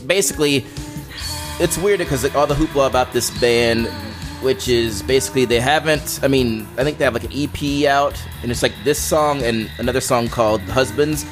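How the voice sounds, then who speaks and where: A man's voice: medium pitch, moderate pace. A man co-hosting a podcast, chatting casually about music in a rambling, opinionated way with crude humour. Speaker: podcast co-host presenting music picks.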